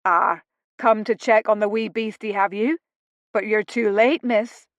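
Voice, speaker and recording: Woman doing a gruff Scottish stable master. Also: mid-range voice, fairly even intonation, measured pace, dry recording, muffled recording, lightly acted. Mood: gruff, fond. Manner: blunt, folksy, dialect-heavy speech.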